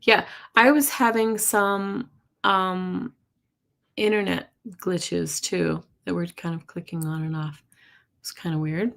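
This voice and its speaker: Woman, husky, hoarse, lowish voice, gravelly, chatty live stream host, throat clearing. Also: fairly even intonation, slow pace, a bit bright. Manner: chatty, reacts to comments, coughs and clears throat. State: hoarse from losing her voice. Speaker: tarot and astrology livestream host. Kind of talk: casual live chat reading viewer comments.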